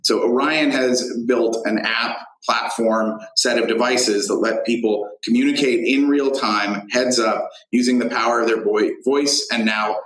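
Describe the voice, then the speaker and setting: A man being interviewed as a tech founder, explaining his business in halting phrases with frequent pauses. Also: mid-range voice, steady tone, a bit bright, reverberant, rough recording.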